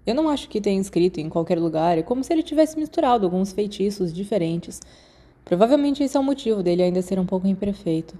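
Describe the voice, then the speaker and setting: A woman's voice: mid-range voice, lively intonation, fast talker, dark tone, lightly acted. A Brazilian woman voicing a guarded, bashful, bookish teenage schoolboy in an audiobook. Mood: explaining. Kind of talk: audiobook character dialogue.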